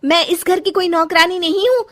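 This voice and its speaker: A woman voicing an unhappy young bride in a cartoon story, resentful and quick to snap, sometimes tearful. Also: very high-pitched, a bit bright, voice pushed up. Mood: angry. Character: young unhappy bride, frustrated, sharp-tongued.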